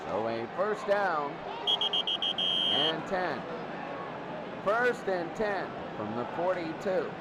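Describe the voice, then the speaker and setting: A man's voice: a little high, big pitch swings, takes it slowly, pauses often. A man calling a football game as a live play-by-play commentator. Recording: loud crowd and noise behind commentary. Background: loud crowd, stadium-like noise.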